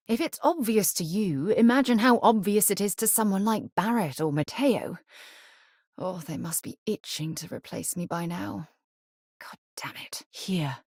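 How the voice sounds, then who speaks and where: An actress voicing a composed, principled woman who leads space explorers in a video game, speaking in a measured, formal and earnest way. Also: lowish voice, darkish tone, no echo, clean studio sound. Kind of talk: game voice lines.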